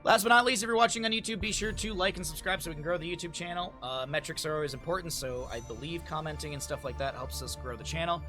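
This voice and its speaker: A hoarse man casting a live-streamed esports match, chatty and thinking aloud, often correcting himself. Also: fairly high pitch, quick pace, few pauses, over music.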